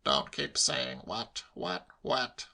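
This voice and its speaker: A man voicing an irritable, exasperated older English gentleman in a comic audiobook, testy, strained and emphatic. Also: mid-range voice, expressive, takes it slowly, creaky, full character voice.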